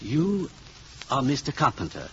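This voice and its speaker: A man's voice: mid-range voice, huge pitch swings, unhurried. A muffled, lightly hissy 1950s radio play gives us a learned professor. His intonation rises and falls freely as he picks his words with courteous, curious hesitation. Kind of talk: 1950s radio drama acting.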